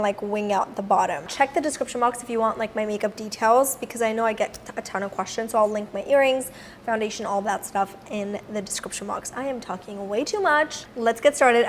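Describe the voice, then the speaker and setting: A young woman with a raspy voice from a recent illness, chatting upbeat to camera as a beauty vlogger on a clip-on mic. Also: on the high side, a little hiss or hum. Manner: chatty, upbeat, lots of fillers. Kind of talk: casual product review to camera. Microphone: clip-on mic on top.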